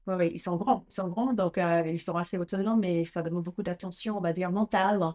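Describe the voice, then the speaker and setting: A woman's voice: on the low side, fairly even intonation, fairly quick, dark tone. A woman in her forties with an American accent, a brand founder talking in a casual interview, chatty with frequent restarts. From United States.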